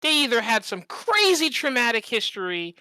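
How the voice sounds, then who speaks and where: A podcast co-host chatting casually and comedically, acting out examples and swearing freely in an animated storytelling manner. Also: mid-range voice, normal speed, no echo.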